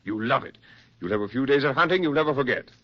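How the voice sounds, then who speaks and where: A man acting a brisk, persuasive military psychiatrist with genteel, insistent delivery in a 1940s radio drama. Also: medium pitch, animated, slight background noise, natural voice. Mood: hearty, coaxing. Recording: muffled 1940s radio recording.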